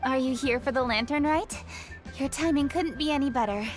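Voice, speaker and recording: A high-pitched, crisp young official, acted by a woman, diligent and earnest. She sounds businesslike and firm, with faint music behind her in a game cutscene. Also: moderate pace, close to an everyday voice. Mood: friendly. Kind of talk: video game voice acting.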